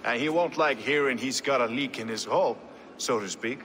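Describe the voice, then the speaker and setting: A man's voice: mid-range voice, moderate pace, a bit bright, natural voice. A man playing a wry, hard-bitten, plain-spoken medieval soldier in a video game cutscene. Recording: noisy outdoor game ambience.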